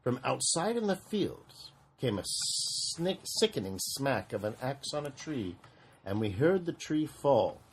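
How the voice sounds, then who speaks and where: A man's voice: mid-range voice, very animated, a little slow, noisy background. A man reading a rhyming children's story aloud in a dramatic way, drawing out and repeating phrases. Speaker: storybook reader.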